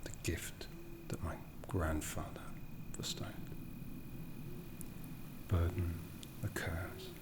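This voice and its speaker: Guy doing an old vampire lord asmr roleplay, really slow n whispery, menacing, haughty, tired of it all. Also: low voice, little pitch movement. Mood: brooding, weary. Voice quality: breathy half-whisper. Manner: slow, deliberate, menacing, theatrical phrasing. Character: ancient menacing aristocratic male vampire lord, world-weary and condescending.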